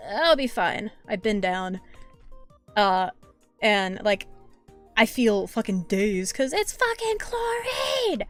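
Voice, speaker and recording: A hoarse woman streaming as she draws, chatting in a rambling way with lots of fillers and restarts, swearing now and then. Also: medium pitch. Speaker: artist streamer. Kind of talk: casual chat while drawing on stream.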